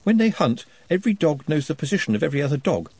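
A man's voice: on the high side, very animated, very fast, darkish tone, husky, no room sound, voice pushed up, exaggerated voice. A man voicing a nervous, formal young scholar who speaks precisely and earnestly.

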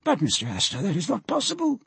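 A man's voice: sits high, wildly swooping pitch, fast, creaky, a bit bright, husky, dry recording, voice pushed up, exaggerated voice. A man voicing a proud, confident sea captain in a storybook, formal and firm in manner. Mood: formal, doubtful. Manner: formal, firm, self-assured.

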